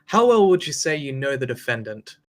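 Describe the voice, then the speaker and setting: A man's voice: mid-range voice, sing-song, fairly quick, natural voice. Guy as a polite defense lawyer asking questions, formal, mid voice, table read.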